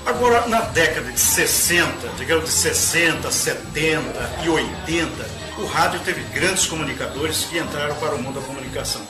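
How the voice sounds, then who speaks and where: A man's voice: on the high side, lively intonation, moderate pace, hardly any pauses. Man, Brazilian Portuguese accent, interviewer, prodding questions, echoey room, background music.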